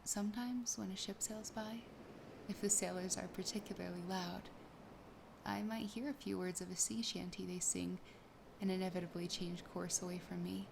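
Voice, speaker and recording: A woman voicing a sassy, possessive, lonely siren in a soft, breathy, often whispered ASMR roleplay, slow, teasing and intimate. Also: mid-range voice, steady tone, background noise, lightly acted. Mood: curious, shy. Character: possessive, sassy, lonely female siren toying with her catch.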